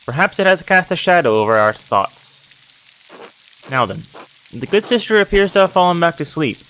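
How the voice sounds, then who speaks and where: A man voicing a solemn, devout priest in a narrative game, speaking in a measured, formal, pious way. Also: fairly high pitch, very animated, fairly bright tone, a little hiss or hum, voice pushed up, low-quality recording. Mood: hushed, solemn. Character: solemn devout priest, gentle confessor, male. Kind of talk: reading aloud narrative game dialogue. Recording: music and rain behind the voice.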